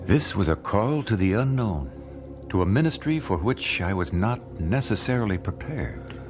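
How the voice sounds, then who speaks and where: A man's voice: fairly low pitch, animated, moderate pace, over music, close to an everyday voice. An actor playing an earnest, devout 19th-century family man, reflective, in a radio drama. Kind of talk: radio drama narration and dialogue.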